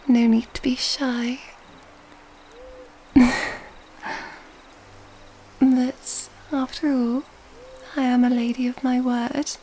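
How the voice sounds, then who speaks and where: Slow, whispering seductive vampire lady played by a woman. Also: high-pitched, dark tone, a little hiss or hum, poor audio. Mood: teasing, seductive. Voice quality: breathy, largely whispered. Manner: slow, teasing, formal old-fashioned wording. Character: seductive, elegant female vampire, mysterious and predatory. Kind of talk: ASMR roleplay, intimate whispered acting.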